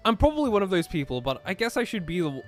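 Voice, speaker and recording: A man with a slightly high, lively voice plays through a visual novel, reading it out and bantering casually. Faint music runs behind him. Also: quick pace. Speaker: player and narrator of a game playthrough.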